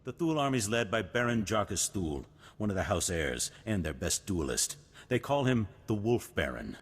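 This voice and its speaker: An actor playing a noble, resolute duke in a video game, husky, formal and stately in delivery. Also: male voice, lowish voice, sing-song, normal speed, heavily acted. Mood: grave, determined. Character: noble, resolute older duke, solemn war leader. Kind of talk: cinematic video game voice acting. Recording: long hall reverb, music behind.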